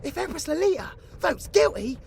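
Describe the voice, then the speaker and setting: A woman voicing an excitable, comic small creature in a fantasy audio drama, theatrical and exclamatory. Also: sits high, moderate pace, gravelly, slight background noise, exaggerated voice. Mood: comic panic.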